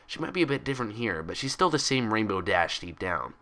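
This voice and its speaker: A man's voice: on the low side, very animated, a little fast, pushed and bright. A man voicing an earnest, easily exasperated young female cartoon pony with an urgent, dry, defensive way of talking.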